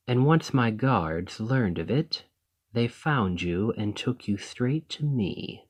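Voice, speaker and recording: A man's voice: lowish voice, darkish tone, heavily acted. A man playing an ambitious foreign noble in a fantasy audio roleplay, speaking in a formal, composed, courteous and persuasive manner. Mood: grave, stern. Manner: formal, measured, deliberate, condescendingly sympathetic. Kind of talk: scripted fantasy ASMR roleplay monologue.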